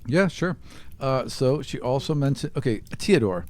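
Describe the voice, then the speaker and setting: A man with a very lively, swinging delivery runs a tabletop roleplaying game. His voice sits in a middle register as he moves between casual chat and narration. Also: mid-range voice, moderate pace. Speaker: game master.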